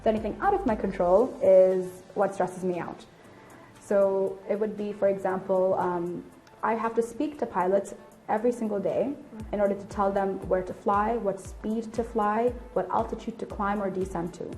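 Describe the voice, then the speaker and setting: A woman's voice: mid-range voice, steady tone, moderate pace, darkish tone, faint music behind. A woman in her late twenties giving candid, articulate explanations in an interview. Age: late 20s. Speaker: air traffic control officer and instructor being interviewed.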